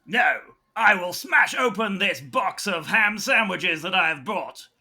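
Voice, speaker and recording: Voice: mid-range voice, expressive, a little slow, sharp tone, full character voice. A performer playing a brusque, stubborn, bossy character in a comedic audio roleplay, speaking bluntly and emphatically. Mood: brusque, demanding.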